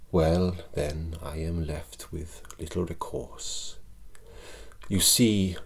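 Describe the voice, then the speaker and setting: A man with a Welsh accent voicing a scheming old alchemist in an ASMR roleplay. He speaks softly in archaic thee-and-thou speech and sometimes slips into whispers. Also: extremely low pitch, a little hiss or hum, full character voice. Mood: soft, coaxing, then quietly menacing. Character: scheming old male court alchemist, wretched and sinister.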